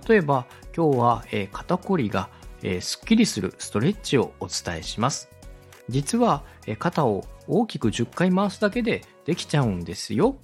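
Guy hosting a how-to podcast, really animated pitch, polite and explains step by step, faint music behind. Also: normal speed, husky. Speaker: host, radio director teaching podcast production. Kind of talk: instructional podcast talk with a co-host.

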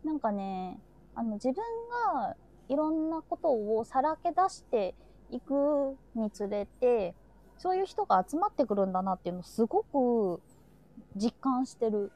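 A woman's voice: on the high side, lively intonation, a little slow, warm tone, low-quality recording. A woman hosting a live streamed talk, chatting casually and reflectively and sharing her feelings openly.

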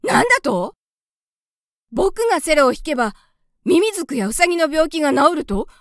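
A woman voicing a brusque young male cellist who talks bluntly and roughly. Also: on the high side, animated, normal speed, no room sound, studio quality, close to an everyday voice. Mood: gruff surprise.

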